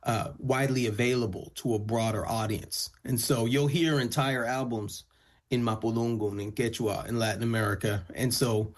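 A man's voice: mid-range voice, steady tone, normal speed. A male musician whose first language is Spanish, answering interview questions thoughtfully, often restarting his phrases. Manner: thoughtful, frequent restarts and fillers. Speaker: musician guest.

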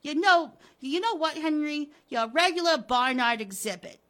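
A woman voicing a drunken, sneering, vitriolic wife from a horror comic, with mocking, gleeful jabs and shrill outbursts. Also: lively intonation, unhurried, fairly bright tone. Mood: vitriolic rage. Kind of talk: dramatic comic-book reading.

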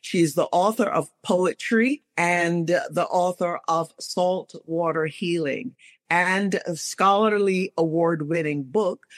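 A man's voice: fairly high pitch, fairly bright tone, no echo, clean studio sound. A man hosting a current-affairs talk show, commenting and introducing guests earnestly in a conversational way. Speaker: radio show host.

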